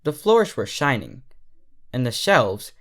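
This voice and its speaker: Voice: medium pitch, big pitch swings, slow pace. A storyteller reading scripted fiction aloud as its narrator. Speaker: fan fiction reader, narrator.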